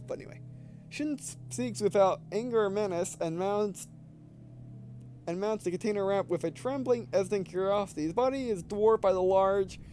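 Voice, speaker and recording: A man with a high voice reads a visual novel aloud as a let's player. He slips between narration and casual commentary, often stumbling over words. A faint hum sits behind his voice. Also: dark tone. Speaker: video game let's player.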